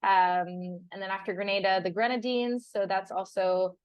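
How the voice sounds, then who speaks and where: A woman's voice: mid-range voice, flat delivery, normal speed, clear, resonant tone, no echo, muffled recording. French-native woman, dry close sound. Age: young adult. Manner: chatty, laughs often, restarts words. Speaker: guest. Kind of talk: casual interview chat. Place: on a sailboat.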